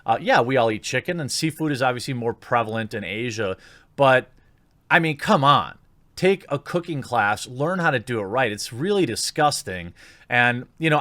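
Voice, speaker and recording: A man's voice: mid-range voice, pushed and bright, no room sound. A man giving disapproving, emphatic opinion commentary as an online host, moving between reading out and speaking off the cuff.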